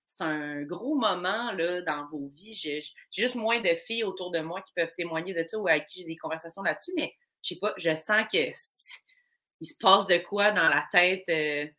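A woman's voice: lowish voice, moderate pace. A woman in her thirties with a Québécois accent, a comedian guest talking animatedly and laughing often. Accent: Québécois French. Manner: animated and laughing, with many restarts. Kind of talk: casual conversation.

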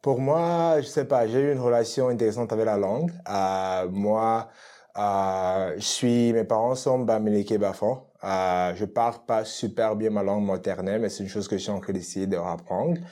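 A man's voice: on the low side, moderate pace, dark tone. A man from Cameroon, a guest artist in a casual interview, speaking thoughtfully with many hesitant fillers and restarts.

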